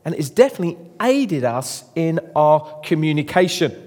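A man's voice: fairly high pitch, very animated, moderate pace, darkish tone, in a large hall. A man presenting a marriage course segment, introducing the next topic to viewers. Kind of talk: presenting to an audience.

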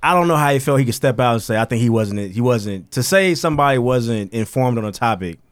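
A man co-hosting a podcast, giving his take in quick, slangy, opinionated banter. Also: mid-range voice, expressive, dry recording, studio quality. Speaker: podcast co-host. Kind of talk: casual chat.

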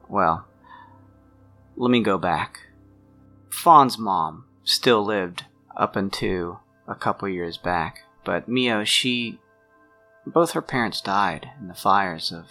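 An awkward young man in a tabletop drama, acted out by a man, with a low, gravelly voice. He speaks haltingly, full of guilt, often trailing off over soft background music. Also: full character voice.

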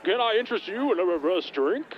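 A man's voice: sits high, very animated, quick pace. A man playing a theatrical, menacing villain's henchman in a comedy parody, grandiose and formal, in muffled sound. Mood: theatrical menace. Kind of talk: comedy series acting.

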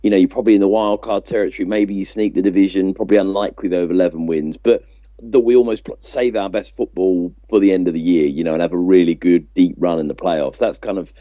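Casting: man, deep voice, conversational sports podcast co-host, dry and slightly lo-fi sound. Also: steady tone, fast, warm tone, no echo. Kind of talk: casual sports chat, giving opinions.

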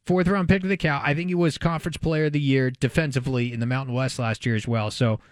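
A man with an American accent and a mid-pitched voice discusses sports as a talk host. He reasons aloud in a casual, conversational style. The recording is very dry, free of room sound. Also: fairly even intonation, fast, dry recording.

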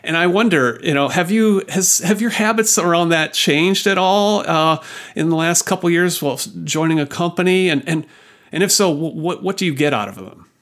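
Casual podcast host, stumbling questions. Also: male voice, fairly high pitch, normal speed, fairly bright tone. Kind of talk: podcast interview.

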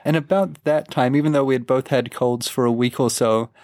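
A man co-hosting a travel podcast in a relaxed, rambling way, his voice croaky from a cold. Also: mid-range voice, a little fast, dry recording, studio quality. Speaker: podcast co-host. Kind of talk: casual travel chat.

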